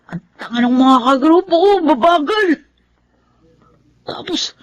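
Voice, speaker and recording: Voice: medium pitch, muffled recording, lightly acted. An actor playing a sulky, defiant schoolboy with a Filipino accent and a whiny, sullen delivery in a radio drama. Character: sulky, defiant schoolboy, a troublesome younger son.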